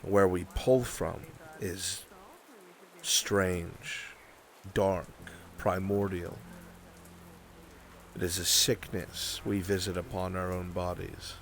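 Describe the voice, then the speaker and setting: A man acts out a grave scholar of magic in a fantasy roleplay. His low voice moves very slowly, with deliberate pauses. The phrasing is formal and cautioning throughout. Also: flat delivery, a bit bright, exaggerated voice. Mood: solemn warning. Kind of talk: improvised fantasy roleplay acting.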